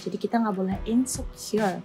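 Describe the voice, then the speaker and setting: A woman's voice: mid-range voice, moderate pace, mellow, faint music behind. A 21-year-old woman giving a personal testimonial, dropping in English phrases. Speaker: dental student giving a self-introduction.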